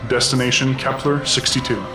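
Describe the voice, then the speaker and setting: A man's voice: mid-range voice, flat delivery, a little fast, natural voice. An actor playing a formal space mission announcer in an audio drama, his voice echoey. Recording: echoey voice under music.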